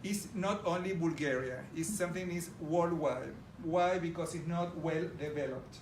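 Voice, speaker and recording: A man's voice: fairly high pitch, normal speed. A middle-aged man from Argentina, with an Argentine Spanish accent, gives an enthusiastic presentation to a live audience and talks to them directly. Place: large room with an audience. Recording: roomy, distant audio with background noise.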